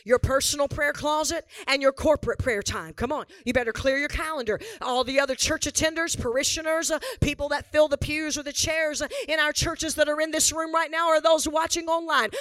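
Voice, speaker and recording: Hoarse, bright-voiced woman preacher. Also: high-pitched, no echo. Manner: impassioned preaching, repeats lines for emphasis and builds to shouting. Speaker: pastor. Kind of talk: revival sermon. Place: church sanctuary before a live congregation.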